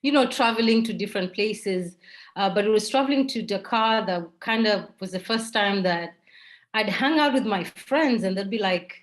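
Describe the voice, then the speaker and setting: A woman's voice: mid-range voice, moderate pace. A woman with a Kenyan accent speaking candidly as an interview guest, telling personal stories.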